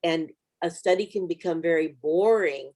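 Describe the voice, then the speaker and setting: A woman with a slightly low-pitched voice hosts a small-group Bible study. She speaks conversationally, in a reflective and encouraging manner, as if advising group members. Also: moderate pace, mellow. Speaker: Bible study video host.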